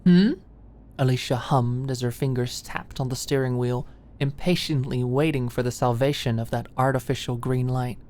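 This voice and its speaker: A woman's voice: rumbling low, flat delivery, moderate pace, darkish tone. A woman reading scripted romance fiction as an audiobook narrator in an ASMR style.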